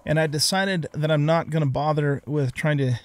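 A man's voice: on the high side, moderate pace, dry recording. An older man with an American accent giving casual let's play commentary in a folksy, easygoing way, thinking aloud as he goes. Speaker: gaming video host.

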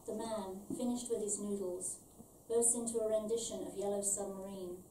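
A middle-aged woman reads a short story aloud at a measured, deliberate pace of delivery. Her tone is very dark and mellow. She is heard a little way off in an echoey room with slight noise. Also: medium pitch, fairly even intonation. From born in Ireland, raised in London. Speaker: author reading her own short story. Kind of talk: scripted literary reading aloud. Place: small event venue with stage, indoors. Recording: roomy, distant, faint background noise.

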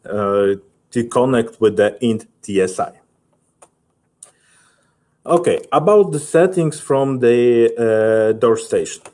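A man in his thirties with a Polish accent, giving a halting, methodical software tutorial as a technical specialist. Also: medium pitch. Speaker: technical specialist presenting a webinar.

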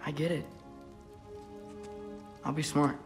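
A man's voice: on the high side, little pitch movement, takes it slowly, over music. A young-sounding man playing an earnest, determined teenage boy in a dramatic video game. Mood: earnest pleading.

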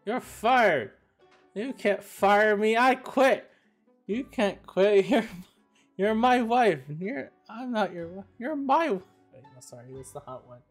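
A man streaming a game, joking and rambling in casual chat, his voice going a little raspy. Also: high voice, animated, slow, soft background music. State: voice getting raspy.

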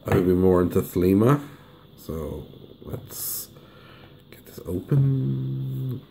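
A hoarse man, talking casually and humming to himself as he reviews and unwraps something at a table. His voice sits very low and gravelly. A faint hiss runs under the recording. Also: expressive, takes it slowly, dark tone. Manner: chatty, hums while unwrapping. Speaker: deck reviewer. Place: indoors at a table. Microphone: camera over the table filming hands.

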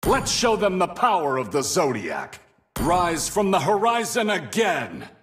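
An actor playing a proud, domineering celestial warrior in a video game, making grandiose, theatrical boasts. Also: male voice, high voice, huge pitch swings, a little slow, a bit bright, full character voice. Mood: proud, commanding. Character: proud, domineering male Capricorn star warrior, boastful conqueror. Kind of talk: video game hero voice lines. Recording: game music and battle effects behind.

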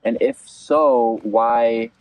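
A young man in his twenties with an average-pitched, creaky voice interviews as a local candidate. He swings his pitch around in an earnest, halting way, restarting phrases as he goes. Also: big pitch swings, darkish tone, lo-fi sound. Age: 20s. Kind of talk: campaign interview. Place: outdoors at a beach picnic table.

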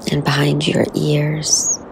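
A woman leading a guided meditation in a soothing, unhurried way, with soft, breathy phrase endings and long pauses. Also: deep voice, monotone, background noise.

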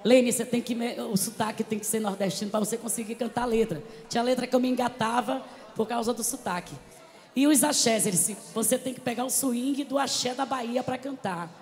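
A woman singer and bandleader from Pará, with a Paraense Brazilian accent, talking fast to the crowd on a live concert stage with a handheld mic. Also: on the high side, long reverb tail. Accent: Paraense Brazilian Portuguese. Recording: live show sound with loud music.

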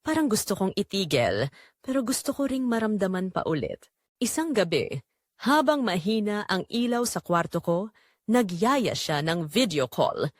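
A woman's voice: mid-range voice, big pitch swings, moderate pace, no room sound, studio quality. A woman narrating a first-person romantic drama in an intimate, dramatic way. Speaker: audio story narrator.

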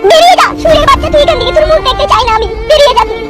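A woman voicing a hurt, quick-to-scold young village daughter in a comedy cartoon, with a squeaky high voice. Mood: furious. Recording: loud music under the voice.

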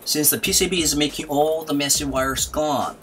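A man's voice: on the high side, fairly even intonation, normal speed, a bit bright, slight background noise. A man giving scripted tutorial narration as a maker presenting an electronics build. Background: background music.

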